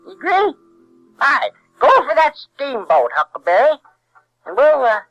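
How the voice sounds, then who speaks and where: A sly old fraud posing as royalty in an audio drama. The voice is extremely high and swoops extravagantly, wheedling and theatrical. The recording is a bit dull and lo-fi. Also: male voice, very animated, normal speed, no room sound, soft background music, exaggerated voice. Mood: scheming, wheedling. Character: sly, smooth-talking old con man posing as King.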